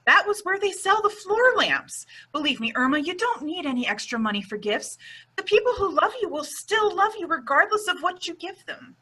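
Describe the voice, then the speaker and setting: A woman's voice: very high-pitched, very animated, normal speed, pushed and bright, heavily acted. A woman playing a sensible, exasperated roommate with a dry, scolding manner in an old-time radio comedy.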